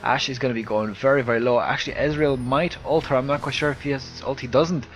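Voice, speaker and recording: A man with an Irish accent and a soft, slightly lispy voice, giving live video game commentary into a close headset mic. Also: mid-range voice, fairly even intonation, fast, soft background music. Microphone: headset close to the mouth.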